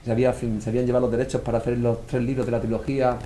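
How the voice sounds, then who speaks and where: A Murcian man speaks fast in a mellow, darker voice, telling stories and slipping into the voices of the people he quotes. A faint room hum sits behind him. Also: medium pitch. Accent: Murcian Spanish. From Murcia, Spain. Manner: animated storyteller, acts out quoted voices. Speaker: author guest. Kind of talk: casual conversational talk.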